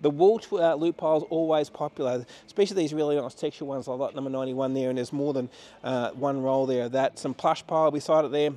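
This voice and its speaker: A man's voice: mid-range voice, steady tone, quick pace, warm tone. A man giving an unscripted, matter-of-fact sales walkthrough as an auction house presenter, walking about a warehouse.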